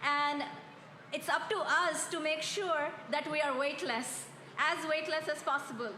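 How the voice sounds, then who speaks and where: Bright high-voiced young woman giving a speech. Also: steady tone, measured pace, reverberant, slight background noise. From India. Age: young adult. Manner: earnest, heartfelt. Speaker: film director. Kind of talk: award acceptance speech. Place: outdoor public stage.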